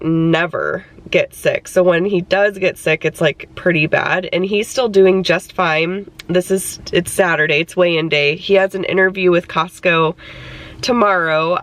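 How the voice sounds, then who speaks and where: A woman's voice: fairly low pitch, a bit bright. A young adult woman vlogging casually about her weight loss, her voice stuffed up from a cold, chatty and full of fillers. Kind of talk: casual chat.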